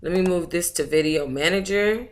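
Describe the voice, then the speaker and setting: A woman's voice: on the low side, moderate pace. An American woman hosting a book club livestream, talking in a casual, chatty, slangy way with familiar endearments.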